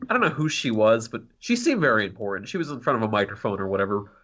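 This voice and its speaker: A man's voice: lowish voice, sing-song, fast talker, fairly bright tone. A man co-hosting a podcast in casual conversation, on a slightly lo-fi voice track. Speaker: podcast co-host. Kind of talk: casual chat.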